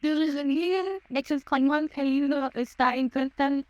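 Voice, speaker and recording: A woman's voice: high-pitched, steady tone, measured pace, a little mellow, dry recording, muffled recording. A young woman from Guatemala, preaching a sermon and telling her own story, slipping in English words. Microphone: handheld or headset mic, unfamiliar to her.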